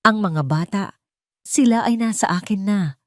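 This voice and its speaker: A woman's voice: medium pitch, unhurried, dry recording, clean studio sound, voice pushed up, close to an everyday voice. Woman voicing a stern, controlling wealthy matriarch. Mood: cold, firm. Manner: hard, commanding.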